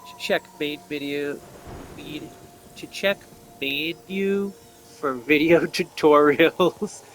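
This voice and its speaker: Male gameplay commentator, fairly high register, casual think-aloud explainer, some background game noise. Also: slow pace, fairly bright tone. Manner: thinking aloud, explaining each step to viewers. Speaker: video game player and commentator.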